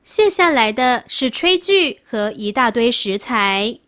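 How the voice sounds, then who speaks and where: A woman's voice: on the high side, sing-song, slow pace, a bit bright. A woman reading scripted quiz sentences aloud as a reader.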